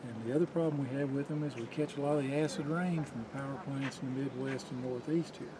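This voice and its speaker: A man's voice: mid-range voice, steady tone, fast talker, noisy background. A middle-aged man, a park ranger, explaining things patiently to a child on a mountain overlook. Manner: informative, patient.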